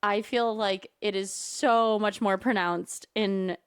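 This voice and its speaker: A woman's voice: mid-range voice, slow pace, clean tone, no room sound, clean studio sound. Laughing woman with a Midwest accent. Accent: Midwestern American. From Michigan, USA. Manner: lively, laughs often. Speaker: podcast co-host. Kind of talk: casual chat.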